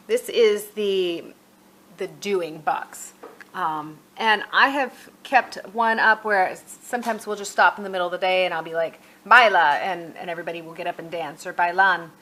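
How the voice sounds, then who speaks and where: A woman's voice: mid-range voice, moderate pace, fairly bright tone, poor audio. Middle-aged woman giving a casual walkthrough. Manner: conversational, explanatory. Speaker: homeschooling parent reviewer. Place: at home.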